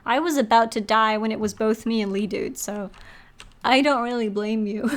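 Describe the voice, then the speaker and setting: High-voiced, chatty woman streaming a game. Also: normal speed. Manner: chatty, giggly, expressive. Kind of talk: casual live game-stream chat, reading out chat and quest text.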